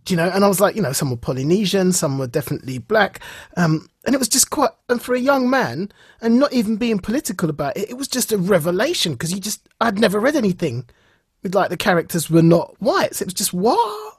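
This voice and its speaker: A man's voice: sits high, sing-song, quick pace, husky, no room sound, studio quality. A man from England with a British accent, co-hosting a podcast and telling anecdotes conversationally, acting out reactions as he goes. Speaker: podcast co-host. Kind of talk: casual chat, telling anecdotes.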